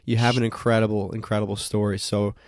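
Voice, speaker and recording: A man's voice: fairly low pitch, flat delivery, fast, a little mellow, no echo, clean studio sound. A man hosting a podcast, introducing a guest and speaking with upbeat enthusiasm. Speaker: podcast host.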